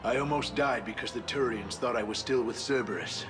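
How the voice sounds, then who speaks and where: An actor playing an anxious but earnest informant on the run, speaking urgently in a video game. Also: male voice, mid-range voice, brisk, over music, close to an everyday voice. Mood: weary, earnest. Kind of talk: dramatic game voice acting.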